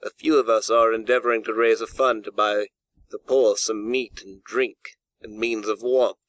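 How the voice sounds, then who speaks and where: A man voicing a portly, courteous gentleman in a storybook reading, speaking formally and earnestly. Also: lowish voice, fairly even intonation, normal speed, dry recording, close to an everyday voice, poor audio. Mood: solemn appeal. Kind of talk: audiobook character dialogue.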